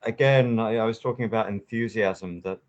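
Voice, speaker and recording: A man's voice: fairly low pitch, brisk. A man hosting a conversational interview, giving emphatic, impassioned opinions. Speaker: podcast host. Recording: dull lo-fi audio.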